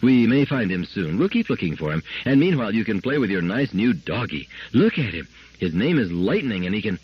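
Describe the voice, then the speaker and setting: A man reading a story aloud, voicing a firm, brisk mother who speaks in a clipped, grim way. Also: lowish voice, sing-song, slightly rough, no echo, highs cut off. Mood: soothing. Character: stern, firm mother, middle-aged wife.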